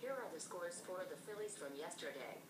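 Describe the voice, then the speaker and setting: A text-to-speech female voice assistant giving polite, even, scripted answers from a phone. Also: lowish voice, a little fast, background noise.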